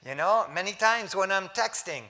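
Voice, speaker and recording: A man preaching a sermon, swinging from loud emphasis to hushed asides, with casual words like "man" and "amen" in between. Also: high-pitched, animated, normal speed. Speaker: pastor. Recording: long hall reverb.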